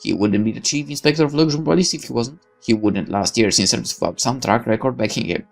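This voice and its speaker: A man hosting a gaming video, reading the story narration aloud theatrically, with expressive asides and swearing. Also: mid-range voice, fast, vocal fry, soft background music.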